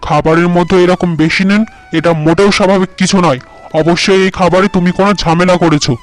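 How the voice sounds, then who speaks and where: A man's voice: high-pitched, fairly even intonation, fast, lightly acted. A man voicing a young farmer husband in a cartoon tale, who speaks in a stern, scolding way. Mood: angry.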